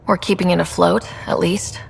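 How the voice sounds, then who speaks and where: A woman playing a calm, capable young space explorer in a video game, composed and understated. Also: lowish voice, moderate pace, vocal fry, a little hiss or hum. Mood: serious. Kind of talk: video game dialogue acting.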